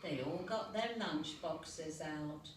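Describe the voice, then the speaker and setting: Slow-reading woman storyteller in a roomy recording. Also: low-pitched. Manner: unhurried, gently dramatized for young children. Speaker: children's storyteller at a library storytime. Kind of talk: expressive read-aloud of a picture book. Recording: roomy, noisy recording, distant mic.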